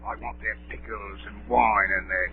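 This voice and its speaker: An actor playing an impatient, greedy mutinous pirate in a 1930s radio play, gravelly and blustering. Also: male voice, on the low side, steady tone, moderate pace, narrow, tinny audio, natural voice. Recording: thin, noisy 1930s radio broadcast with hum.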